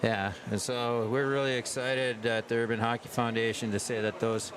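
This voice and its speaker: An American man hosting a livestream from a sports event in a casual, halting way, pausing often mid-sentence. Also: medium pitch, little pitch movement. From United States. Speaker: livestream host at an ice hockey tournament. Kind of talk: casual live chat. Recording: clear urban ambient noise, live on location.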